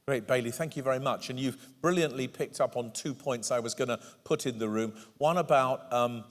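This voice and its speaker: A man moderating a panel discussion, thanking speakers and asking questions politely and briskly. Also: medium pitch. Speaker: panel chair. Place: large event hall. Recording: reverberant hall.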